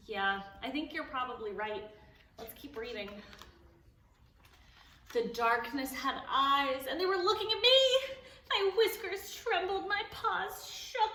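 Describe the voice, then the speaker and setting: A woman reading a picture book aloud to preschoolers at a library storytime, animated and playful, stopping to ask the children questions. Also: high-pitched, a little slow. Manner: playful, gasps and exclaims at the story. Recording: echoey room, voice a bit far from the mic.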